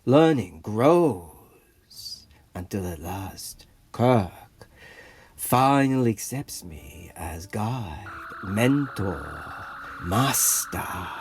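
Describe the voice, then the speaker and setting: An actor playing a grandiose, idealistic rebel leader who narrates an audio comic drama in a theatrical, deliberate way. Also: male voice, lowish voice, big pitch swings, slight background noise, exaggerated voice.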